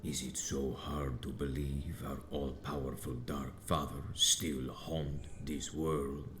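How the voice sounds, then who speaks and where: A man's voice: a bass voice, flat delivery, slow pace, a bit bright, a little hiss or hum, exaggerated voice. An actor playing an ancient, stern male vampire sect elder in a video game, speaking in a grave, formal and imperious way. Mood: solemn, condescending. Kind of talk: theatrical video game dialogue. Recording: roomy echo with game music behind.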